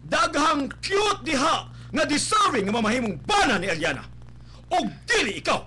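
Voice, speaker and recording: A man's voice: extremely high pitch, big pitch swings, moderate pace, pushed and bright, heavily acted. A man acting a rich, snobbish, scolding father with a Cebuano accent in a melodramatic radio drama. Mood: furious. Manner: haughty, scolding, explosive. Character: rich, snobbish father scorning daughter's poor suitor. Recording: noisy live-stream radio capture with hum.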